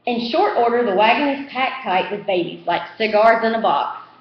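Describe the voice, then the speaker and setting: Woman, storybook narration, animated pitch, highish voice, roomy, slightly dull recording. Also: moderate pace. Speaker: reader. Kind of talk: animated storybook read-aloud.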